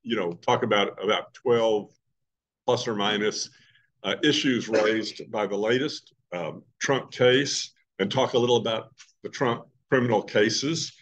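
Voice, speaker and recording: A man in his sixties, a trial lawyer, giving a relaxed talk to a meeting in a loud voice. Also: fairly low pitch, a little slow. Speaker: guest speaker, trial lawyer. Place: indoor meeting room. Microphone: webcam from a distance.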